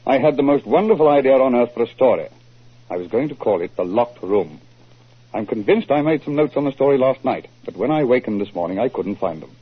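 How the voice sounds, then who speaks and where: An earnest but crafty gentleman writer in a 1940s radio play. The voice is mid-pitched and husky, formal and measured in telling a story. It sounds thin and muffled, as on an old broadcast. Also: expressive. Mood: sheepish, amused. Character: earnest, crafty male mystery writer client. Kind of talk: 1940s radio drama acting.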